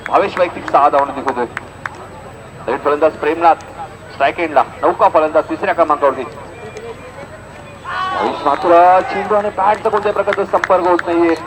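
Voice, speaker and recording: A man's voice: a little high, brisk, long pauses, background noise. A man with a Maharashtrian accent giving live local cricket commentary, repeating score lines and dropping chatty asides to listeners. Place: outdoors at a cricket ground.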